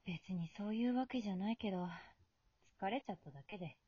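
Hesitant, mellow-voiced young woman acted by a woman. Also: medium pitch, fast talker, breathy edge, no echo, highs cut off, lightly acted. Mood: downcast, weary. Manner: hesitant, subdued. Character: weary, self-doubting young woman lost in the afterlife. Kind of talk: amateur short radio drama acting.

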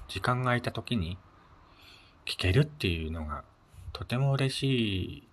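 A man's voice: low-pitched, husky. A man hosting his own audio show alone, chatting casually in a polite, conversational way. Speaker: host of a personal audio show. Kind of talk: casual solo radio-style chat.

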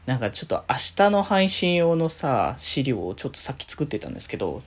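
A woman's voice: rumbling low, sing-song, a little fast. A woman hosting a casual, rambling solo chat for listeners, often giggly and correcting herself as she goes. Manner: often giggles while talking. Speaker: solo talk show host.

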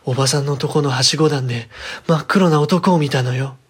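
A man's voice: a little high, brisk, slightly rough, voice pushed up. A man voicing a headstrong, cheeky young girl who spooks easily, with girlish, dramatic phrasing in a horror storybook reading. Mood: frightened.

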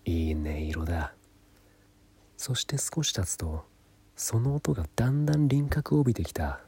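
A man's voice: lowish voice, animated, moderate pace, darkish tone, slightly rough. A young man telling his own story as dramatic narration, often half-whispering. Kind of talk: dramatic first-person storytelling.

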